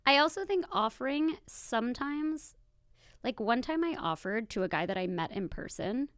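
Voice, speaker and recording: A woman's voice: on the high side, expressive, normal speed, fairly bright tone, dry recording, studio quality. A young American woman hosting a casual chat with a friend in a teasing, giggly manner.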